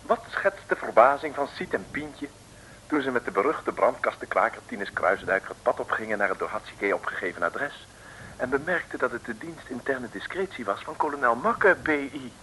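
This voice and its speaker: A man narrating an old radio serial in a brisk, scripted storytelling manner. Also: mid-range voice, expressive, bright, forceful tone, poor audio. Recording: old radio recording with faint hiss.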